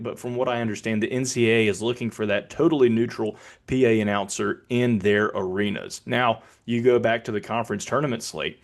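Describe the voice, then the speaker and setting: An American man who works as a public address announcer, giving an instructive talk in a measured, deliberate way. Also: medium pitch. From Birmingham, Alabama, United States. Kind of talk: explanatory talk with announcing demonstrations.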